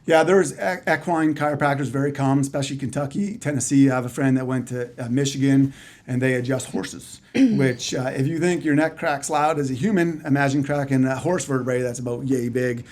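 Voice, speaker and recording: A man's voice: medium pitch, steady tone, brisk, darkish tone. A man in his forties with a Canadian accent, a chiropractor chatting casually as an interview guest, rambling through stories with dry, self-deprecating humor.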